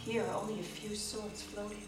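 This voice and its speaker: A woman reading a literary story aloud in a live theater performance, with deliberate, suspenseful phrasing. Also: mid-range voice, flat delivery. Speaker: reader in a staged ensemble reading. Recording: echoey distant live theater recording with music. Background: music and sound design.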